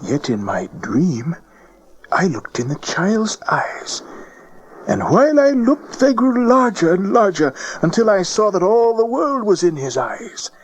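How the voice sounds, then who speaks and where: An actor playing an aged, gentle, visionary wise man in a dramatized audio Bible, speaking in a halting, awed way. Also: high-pitched, big pitch swings, slightly rough, full character voice, low-quality recording. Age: older.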